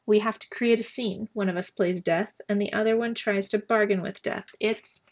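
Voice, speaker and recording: A woman's voice: mid-range voice, fairly even intonation, moderate pace, dull audio, close to an everyday voice. A woman voicing a wry, self-conscious young schoolboy in a storybook, speaking a little awkwardly. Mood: explaining.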